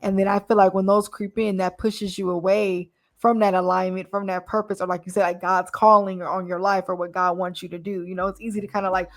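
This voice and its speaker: A woman's voice: medium pitch, level tone, a little fast, hardly any pauses. A woman hosting a casual podcast, reflective and encouraging as she talks with her guest. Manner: reflective and encouraging, frequent affirming "mm-hmm". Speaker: podcast host.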